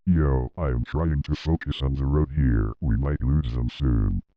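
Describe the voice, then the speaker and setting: Gruff male getaway driver, acted by a man, extremely deep, muffled recording, crime drama. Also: a little slow, dry recording. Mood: tense, irritated. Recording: muffled, dull sound.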